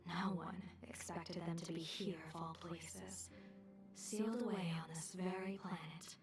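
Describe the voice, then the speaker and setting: A woman's voice: on the low side, steady tone, far from the mic, faint music behind. Composed woman strategist from a fantasy game. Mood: measured, grave. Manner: measured, formal, eloquent. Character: composed female fortune-telling strategist, calm and resolute. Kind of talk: scripted game dialogue.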